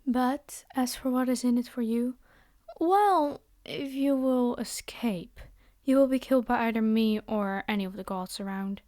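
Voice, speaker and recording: A woman's voice: fairly high pitch, unhurried, dark tone, no echo, studio quality, natural voice. A woman playing a haughty, possessive vampire noblewoman in an ASMR fantasy roleplay, speaking in a theatrical, condescending and formal way. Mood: menacing. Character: haughty, possessive vampire noblewoman, polite yet menacing captor.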